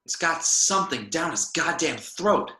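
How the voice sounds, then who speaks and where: A man's voice: a little high, animated, a little slow, sharp tone. A man playing a commanding, impatient spaceship captain in an amateur sci-fi table read. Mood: frustrated, determined. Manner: urgent, commanding. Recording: echoey room.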